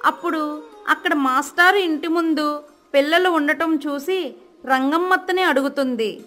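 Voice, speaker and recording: A woman's voice: sits high, a little slow, fairly bright tone, faint music behind. A woman narrating a scripted children's moral story as a storyteller.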